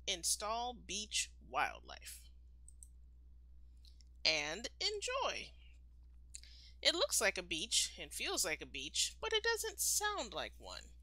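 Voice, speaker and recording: A woman reading a children's picture book aloud expressively, like a storytime teacher, with deliberate, emphatic phrasing. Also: on the low side, sharp tone, dry recording.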